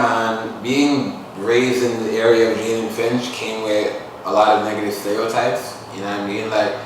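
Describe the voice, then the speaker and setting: A man's voice: fairly low pitch, fairly bright tone, a little hiss or hum. Earnest young man in an echoey room. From Toronto, Canada. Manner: earnest, reflective, street-casual phrasing. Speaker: musician and poet guest. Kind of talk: casual interview talk. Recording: echoey room, voice far from mic.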